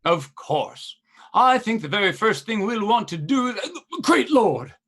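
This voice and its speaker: A flustered, greedy sheriff talks in a husky, breathy voice. He fawns and stammers to win favor in a radio play. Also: high voice, very animated, a little slow, a bit bright, exaggerated voice. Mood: fawning. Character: fawning, nervous male sheriff, greedy for favor.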